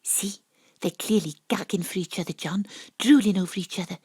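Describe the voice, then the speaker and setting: Tipsy wry investigator Astrid, dry close sound. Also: female voice, mid-range voice, fairly quick, darkish tone, husky, dry recording. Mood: wry storytelling. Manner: wry, bawdy, theatrical. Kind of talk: audio drama, first-person narration and dialogue.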